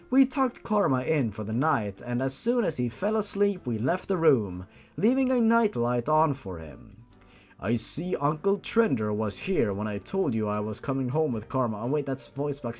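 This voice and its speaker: A man doing a dramatic reading of fan fiction, breaking off for exasperated, sarcastic asides. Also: a little high. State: feeling unwell. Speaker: fan fiction reader and host.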